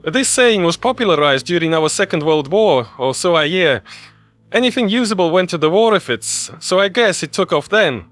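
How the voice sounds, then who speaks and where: A man's voice: sits high, animated, moderate pace, a bit bright, dry recording, faint music behind, voice pushed up. A man voicing a chipper, joking human male officer in an audio story, casual and chatty. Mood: cheerful, chatty. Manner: casual, cheerful, idiomatic.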